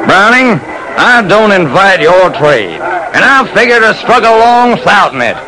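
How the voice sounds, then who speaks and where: An actor playing a curt, unwelcoming bar owner in an old radio drama, cold and dismissive, on a noisy recording. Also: male voice, high-pitched, very animated, unhurried, crowd noise.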